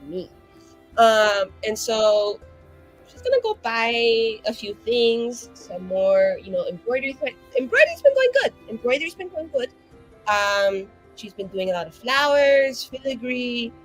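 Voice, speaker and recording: A woman's voice: a little high, sing-song, measured pace, soft background music, low-quality recording. A woman playing her character at a tabletop game, rambling with fillers and restarts. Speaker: player. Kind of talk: in-character roleplay chat.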